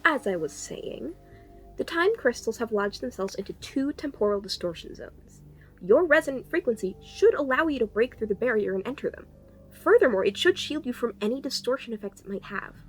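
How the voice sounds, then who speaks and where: A woman playing an exasperated, technically minded time-travel engineer in an audio drama, explaining briskly. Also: a little high, lively intonation, soft background music, close to an everyday voice. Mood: lecturing, brisk. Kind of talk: cinematic audio roleplay acting.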